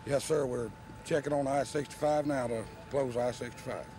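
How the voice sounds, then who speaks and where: A man's voice: mid-range voice, fairly even intonation, moderate pace, warm tone. Male trooper, mid-range, formal factual interview replies, faint roadside noise. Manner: formal, matter-of-fact. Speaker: state trooper. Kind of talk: news interview answers. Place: outdoors beside a highway. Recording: noisy outdoor field recording.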